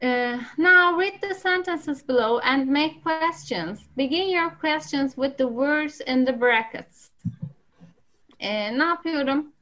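A woman's voice: sits high, slow, sharp tone. A woman with a Turkish accent teaching an English class over a video call, patiently calling on students.

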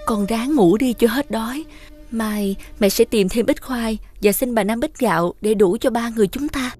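A woman playing a humble, protective village mother with a Southern Vietnamese accent in an animated fairy tale. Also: a little high, moderate pace, natural voice. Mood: tender. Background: music.

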